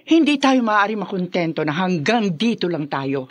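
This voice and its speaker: Pushy, boastful Filipino matriarch, radio drama, swooping emphatic delivery, dry close sound. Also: female voice, medium pitch, sing-song, normal speed, dry recording. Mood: boastful, proud. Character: proud, domineering, ambitious mother and grandmother.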